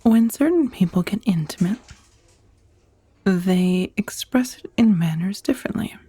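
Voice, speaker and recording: A woman playing a professional dominatrix on a blind date in a soft-spoken ASMR roleplay, breathy and often near a whisper, confiding and teasing. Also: medium pitch, expressive, unhurried. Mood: sheepish, hesitant. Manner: hesitant, confiding, teasing, with sighs. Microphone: close, dry ASMR microphone.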